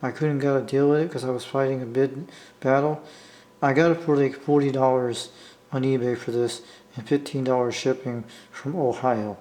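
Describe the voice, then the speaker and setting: A man with an American accent talking casually off the cuff as a hobbyist video maker, rambling and halting as he repeats himself. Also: medium pitch, fairly even intonation, darkish tone. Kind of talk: casual unscripted vlog talk. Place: indoor room, talking from behind the camera.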